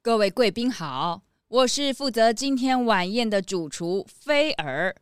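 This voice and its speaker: A woman's voice: fairly high pitch, lively intonation, unhurried, smooth, no room sound, clean studio sound. A woman playing a courteous, dutiful cow chef who speaks formally in a children's mystery audio drama.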